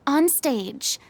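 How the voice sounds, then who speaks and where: A woman's voice: high voice, fairly bright tone, slight background noise, full character voice. An actress playing a composed, commanding young woman in a video game, a firm and articulate voice of reason.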